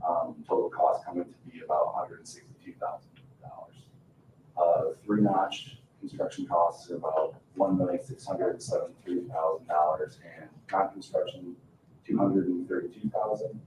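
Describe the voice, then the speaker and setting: A man with a low voice delivers an informal report at a public meeting. He is picked up from a distance in an echoey room, with faint noise underneath. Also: fairly even intonation, normal speed, warm tone. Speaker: consultant presenting project costs. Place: indoor town board meeting room.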